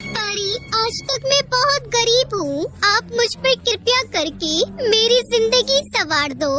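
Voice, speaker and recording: Little female bird for kids' cartoon, woman performer: tiny very high voice, humble, earnest, pleading. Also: expressive, takes it slowly, over loud music, full character voice. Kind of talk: Hindi moral cartoon dialogue.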